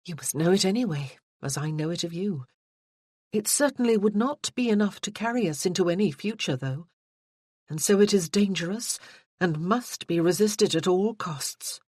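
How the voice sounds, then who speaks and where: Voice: mid-range voice, lively intonation, darkish tone, husky, no room sound. Reader doing a spirited, haughty young lady in a period romance audiobook; dry studio sound. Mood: teasing. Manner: haughty, quick to tease back. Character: proud spirited young noblewoman. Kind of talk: audiobook character voicing.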